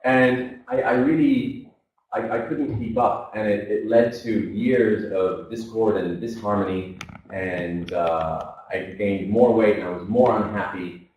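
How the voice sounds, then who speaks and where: A man's voice: on the low side, steady tone, unhurried, muffled recording, a little hiss or hum. A man in his thirties giving a motivational seminar talk, telling his life story and acting out the voices of the people in it. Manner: storytelling, acts out quoted voices. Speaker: seminar speaker. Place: seminar hall. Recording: echoey room, from far off.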